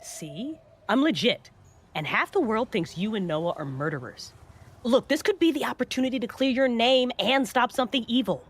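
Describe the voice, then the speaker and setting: An actress playing a brash, foul-mouthed, pushy paranormal investigator in a horror audio drama. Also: female voice, a little high, animated, moderate pace, slightly rough. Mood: persuading.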